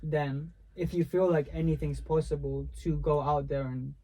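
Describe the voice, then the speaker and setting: Young man, mellow slangy host. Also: a little high, steady tone, moderate pace, low-quality recording. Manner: laid-back slangy banter, swearing freely. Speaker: podcast host. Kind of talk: casual podcast chat.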